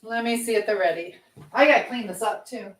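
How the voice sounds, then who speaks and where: A woman's voice: on the high side, a little fast, slight background noise. A middle-aged woman hosting a casual live chat from home, talking in a chatty, rambling way as she hops between topics and replies to viewers. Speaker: livestream host.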